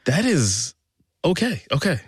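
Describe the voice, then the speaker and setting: Wry, fast-talking male podcast host. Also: a little high, very animated, slightly rough, dry recording, studio quality. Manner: quick, wry and incredulous. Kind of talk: conversational news podcast.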